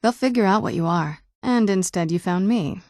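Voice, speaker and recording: A woman's voice: medium pitch, normal speed, a little mellow, no room sound, close to an everyday voice. A woman playing a guarded, wry young-looking vampire noblewoman in a video game, dry and understated. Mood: guarded, direct. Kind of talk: video game character dialogue.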